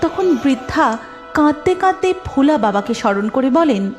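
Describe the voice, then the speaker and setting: A woman narrating a cartoon story in an even storytelling manner. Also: sits high, moderate pace, soft background music.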